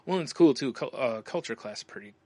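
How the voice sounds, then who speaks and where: A man's voice: mid-range voice, expressive, fairly quick, a little mellow, no echo. A man co-hosting a podcast in casual, rambling conversation, often dropping in "you know.". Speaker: podcast co-host. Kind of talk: casual chat.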